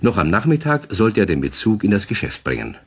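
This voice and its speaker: A man narrating a children's radio play in a husky voice, in a scripted storybook style. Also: lowish voice, sing-song, brisk. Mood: calm, storytelling.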